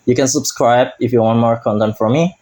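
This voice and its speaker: A man casually walking through a game update as a gaming YouTuber, talking in halting English and searching for his words. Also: medium pitch, steady tone, darkish tone.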